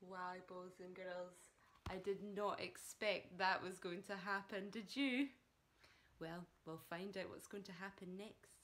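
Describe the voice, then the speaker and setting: A woman's voice: on the low side, fairly even intonation, unhurried, fairly bright tone. A woman reading a children's story aloud like a primary teacher, with dramatic emphasis, speaking directly to young listeners.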